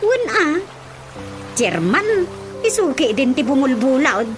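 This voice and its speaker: A woman acting a gossipy, expressive village woman with an Ilocano accent in a radio drama comedy. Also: high voice, slow pace, full character voice. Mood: chatty, concerned. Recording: music bed behind the voice.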